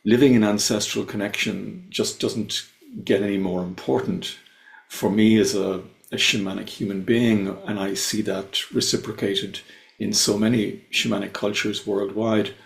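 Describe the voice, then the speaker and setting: A low, gravelly Irish man speaks with measured reverence and lingers on the words that matter to him. He comes over a video call from an echoey room. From Ireland. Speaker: invited speaker. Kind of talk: slow, reverent spiritual talk. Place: his home, on a video call.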